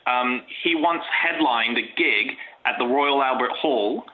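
A man's voice: medium pitch, measured pace. Man telling trivia over a thin phone-like line. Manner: relaxed storyteller with frequent ums. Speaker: podcast co-host. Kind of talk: casual chat telling trivia. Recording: phone-like narrow sound.

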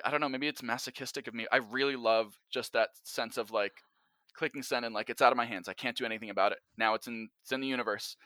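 A man's voice: mid-range voice, pushed and bright, dry recording. A man in a casual, reflective conversation as a podcast guest, speaking candidly and hesitantly in a self-effacing way. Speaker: podcast guest, actor and writer of audio drama.

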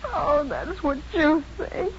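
An actress playing a distraught, vulnerable young woman patient in a 1950s radio drama, speaking in an emotional, halting way. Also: high-pitched, very animated, exaggerated voice. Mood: distressed. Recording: muffled, faintly noisy 1950s radio broadcast.

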